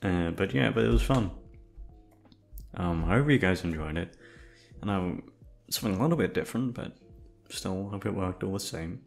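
Casual, rambling man with a creaky voice. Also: sits low, normal speed, pauses often, faint music behind. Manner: rambling, informal, swears. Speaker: voice actor addressing listeners. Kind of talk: casual chat.

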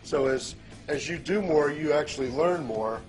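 A man's voice: a little high, moderate pace, low-quality recording. A man in corporate sales giving a polished, persuasive testimonial. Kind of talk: testimonial to camera. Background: soft music.

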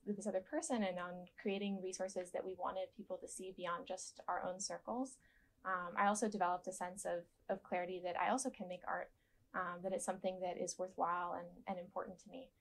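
A young woman with a soft voice giving gentle, thoughtful interview answers with frequent ums and restarts, seated in a dark studio. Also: lowish voice, level tone, brisk, a little hiss or hum, rough recording.

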